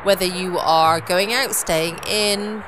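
A woman's voice: fairly low pitch, steady tone, slow, background noise. A woman, speaking as a podcast host in a chatty style. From United Kingdom. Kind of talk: podcast sign-off chat. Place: at home. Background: music.

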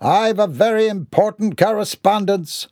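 A man's voice: sits high, moderate pace, darkish tone, dry recording, studio quality, voice pushed up, natural voice. A man voicing a pompous, indignant eccentric who declaims in grandiose, formal language in an audiobook. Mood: imperious.

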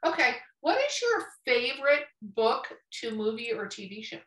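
A woman's voice: a little high, sing-song, moderate pace, a bit bright. A woman hosting a casual interview, informal and blunt, recorded in a roomy space away from the mic. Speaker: podcast host, interviewer. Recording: roomy echo, distant from mic.

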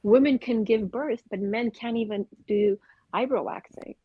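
A woman from Afghanistan speaking with earnest conviction on a casual podcast, her voice husky and a little breathy. The sound is dry and slightly muffled, with little room around it. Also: fairly high pitch, moderate pace, no room sound. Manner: earnest, opinionated, spiritual talk. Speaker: podcast co-host.

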